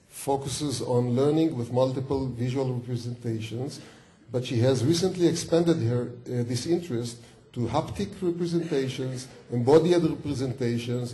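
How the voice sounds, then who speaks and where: Halting man introducing a keynote speaker. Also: medium pitch, mellow. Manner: halting, with many false starts and self-corrections. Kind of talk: hesitant spoken introduction, reading a bio. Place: lecture hall at a university event.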